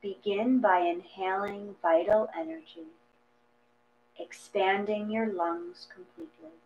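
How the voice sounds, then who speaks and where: Female meditation reader: gentle, scripted, very unhurried delivery with long pauses; dull, humming lo-fi recording. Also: medium pitch, lively intonation. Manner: gentle, measured, with long pauses between phrases. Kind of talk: slow guided meditation, read from a script. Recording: dull lo-fi audio with mains hum.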